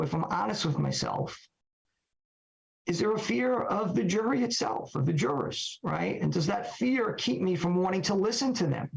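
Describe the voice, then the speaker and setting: A hoarse middle-aged man talks through his thoughts on a podcast, pausing on fillers and asking himself questions. His voice sits a bit high and rasps with heavy fry. The audio is dull and lo-fi. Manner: halting, many fillers and rhetorical questions. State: hoarse, recovering voice. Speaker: trial lawyer podcast guest. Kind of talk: reflective solo talk.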